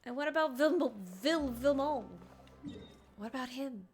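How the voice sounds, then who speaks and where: A woman giving casual, expressive and playful reaction commentary as she streams a game. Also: high voice, moderate pace.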